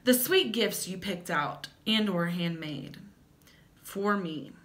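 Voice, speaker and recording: A young woman hosting a vlog, giving dramatic comedic readings with wry asides in a theatrical, sardonic manner and emphatic pauses. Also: on the low side, expressive, a bit bright. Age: young adult. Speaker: vlog host. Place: small plain-walled room at home.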